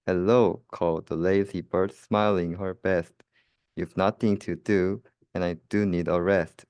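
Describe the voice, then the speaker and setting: Young man, deep smooth voice, unhurried, careful halting storybook reading. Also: fairly even intonation, darkish tone, no echo. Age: young adult. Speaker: student reader. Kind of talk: reading a children's rhyming story aloud.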